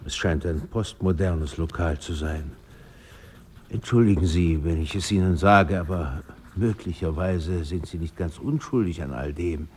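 An actor playing a restless literary man in a radio drama, polite and measured while he argues. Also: deep voice, a little mellow, husky.

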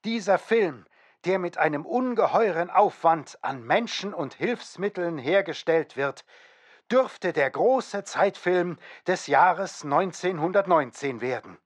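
A man's voice: high voice, expressive, takes it slowly, exaggerated voice. A man reading scripted narration and quotations for a radio documentary. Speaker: narrator and reader of quoted documents.

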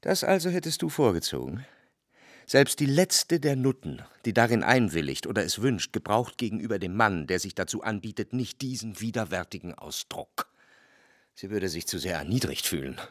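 An actor playing a jealous, sorrowful lover in a radio drama, solemn, with deliberate phrasing and heavy pauses. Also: male voice, fairly low pitch, sing-song, dry recording, studio quality. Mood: bitter, indignant.

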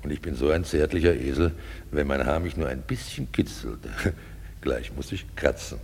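An actor playing a smug, cosy donkey-headed fool in a classic radio comedy, with a drawling, muttering, self-satisfied delivery. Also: male voice, moderate pace, a little mellow, a little hiss or hum. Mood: ticklish, delighted. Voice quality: gravelly, husky, very deep.

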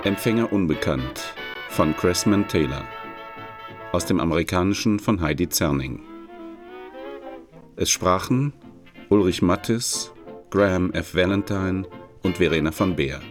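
A man's voice: deep voice, a little slow, pauses often. A man reading scripted credits as a radio announcer. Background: music with brass.

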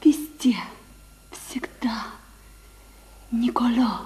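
A coquettish young opera singer in a vintage radio drama. Her high voice is soft, breathy and adoring, and it rings in a long reverb. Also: fairly even intonation, extremely slow. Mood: tender, coy. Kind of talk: 1950s Soviet radio drama acting.

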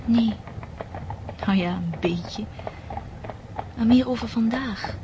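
An actress in a Dutch radio play, playing a restless, romantic young countess in a breathless, emotional way. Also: female voice, on the high side, takes it slowly, dull audio. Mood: dreamy. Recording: noisy old radio play recording.

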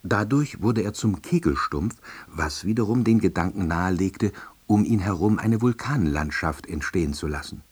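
An actor playing a wry, hapless middle-aged salesman, narrating his own story in an audio drama with a dry, deadpan delivery and husky voice. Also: low-pitched, normal speed. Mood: dry, reflective. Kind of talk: scripted first-person narration.

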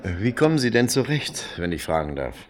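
A man's voice: on the low side, very animated, a little fast. A man acting a reserved, polite small-town shopkeeper in a German radio play, hesitant and understated. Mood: reserved, matter-of-fact. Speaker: radio drama actor.

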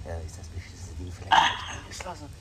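An actor playing a crude, irritable, bullying pimp in a radio crime drama, impatient and swearing. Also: male voice, low-pitched, big pitch swings, fast talker, heavy background noise. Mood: irritated, abusive.

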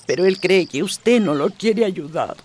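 A woman's voice: on the low side, expressive, a little slow, a little mellow, a little hiss or hum. A woman playing a worried, fretful older mother in a radio drama.

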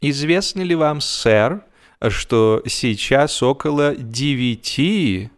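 Guy doing a fussy polite victorian landlady, raised pitch, bit anxious, audiobook comedy. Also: expressive, takes it slowly, clear, resonant tone. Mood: prim concern. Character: prim, anxious Victorian landlady calling through a door.

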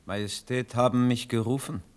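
A man plays a king's finance minister in a radio drama. He is sober and frank, addressing his monarch formally. The pitch is middling. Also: fairly even intonation, moderate pace, clear, resonant tone, lightly acted. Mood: sober, insistent. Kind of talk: German radio drama acting.

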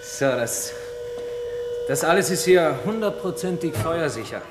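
An actor playing a helpful, matter-of-fact junior bank employee explaining things as a guide in a German radio drama. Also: male voice, fairly high pitch, expressive, a little slow. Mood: obliging, proud. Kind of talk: German radio crime comedy acting. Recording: noisy, echoing vault-like hall.

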